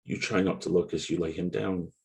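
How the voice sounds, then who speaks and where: A deep-voiced, haunted narrator, acted by a man, tells a dark audio drama in measured, literary prose. The voice is very low with a creaky, gravelly edge, solemn and brooding throughout. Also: steady tone. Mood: somber, brooding. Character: brooding, guilt-haunted male narrator addressing a killer. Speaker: voice actor at a table read.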